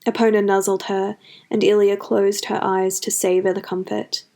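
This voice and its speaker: Female narrator for fantasy fiction, mid-range voice, expressive scripted storytelling. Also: mid-range voice, moderate pace, gravelly.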